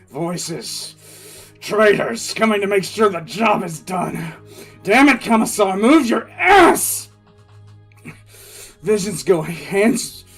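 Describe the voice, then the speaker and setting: Intense, high-pitched military commissar. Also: male voice, a bit bright, breathy edge, full character voice. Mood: dazed, pained, then desperate, furious. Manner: halting, intense, repeats words. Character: male military commissar, wounded, frantic, bitter survivor recounting disaster. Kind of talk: first-person dramatic audio drama narration.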